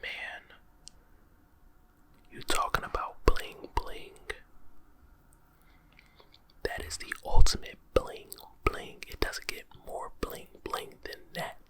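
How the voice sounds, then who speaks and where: A man whispering space facts for an ASMR video, slangy and playful, reacting with amazement and repeating words. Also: slow pace. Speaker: ASMR creator. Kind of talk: whispered ASMR fact telling.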